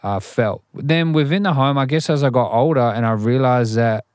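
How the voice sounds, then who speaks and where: A man's voice: medium pitch, moderate pace, darkish tone, no echo, studio quality. A young adult man, a rapper talking through his upbringing as an interview guest, thoughtful and full of restarts. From Australia. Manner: thoughtful, frequent restarts and "you know". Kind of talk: interview, reflective storytelling.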